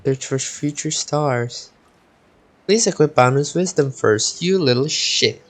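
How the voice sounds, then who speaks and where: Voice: mid-range voice, slow pace. A video game streamer giving casual gameplay commentary, thinking aloud and muttering with the odd swear word.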